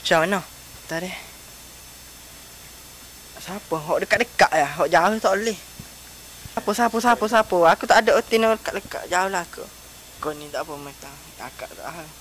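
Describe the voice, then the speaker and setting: A man's voice: high voice, moderate pace, pauses often, fairly bright tone, slight background noise, poor audio. A young man with an east coast Malay accent, bantering casually as he hosts prank phone calls. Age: young adult. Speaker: prank call video host.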